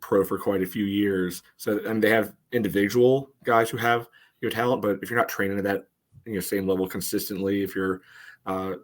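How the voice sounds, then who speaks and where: Low, even-toned man analysing sport. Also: brisk. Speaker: podcast co-host and analyst.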